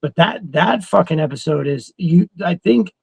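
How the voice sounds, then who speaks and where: A middle-aged man co-hosting a casual fan chat, talking in an excitable, rambling, profanity-laced way. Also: high-pitched, moderate pace, vocal fry, breathy edge, no room sound. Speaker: podcast co-host.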